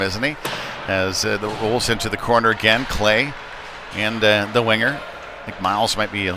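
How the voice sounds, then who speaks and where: Male hockey commentator, lower register, conversational live call with hesitant pauses, clearly noisy rink backdrop. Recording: noisy indoor rink ambience.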